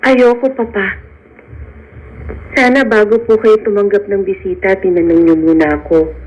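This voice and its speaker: A woman's voice: medium pitch, steady tone, normal speed, a little mellow, natural voice, rough recording. A woman playing an earnest, strong-willed young sweetheart in a radio drama, speaking with heartfelt feeling. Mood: reluctant. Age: young adult.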